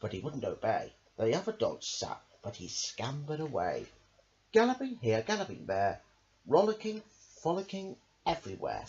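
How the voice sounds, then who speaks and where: A man's voice: mid-range voice, huge pitch swings, normal speed, a little mellow. A man reading rhyming children's stories aloud in a rhythmic sing-song way, drawing out the ends of phrases. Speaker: amateur storybook reader. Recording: dull lo-fi recording, faint noise.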